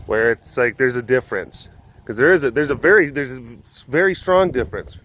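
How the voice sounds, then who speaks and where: A man's voice: mid-range voice, lively intonation, a little fast, fairly bright tone. A man from Wisconsin with a Wisconsin accent, chatting playfully and rambling in a casual vlog outdoors at an animal enclosure. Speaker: animal sanctuary vlogger.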